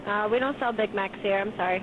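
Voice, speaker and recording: A woman working at a fast-food place, handling a customer service call over the phone. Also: medium pitch. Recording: tinny phone line, faint noise.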